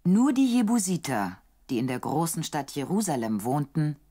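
Low-voiced woman narrator, richly melodic. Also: sing-song, moderate pace, warm tone. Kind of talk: scripted storytelling narration for audio drama.

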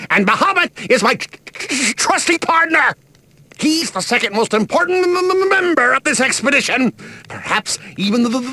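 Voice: medium pitch, sharp tone, husky, no room sound, full character voice. An actor playing an irascible, pompous expedition master in a game, scolding and stammering when flustered. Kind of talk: animated game voice acting.

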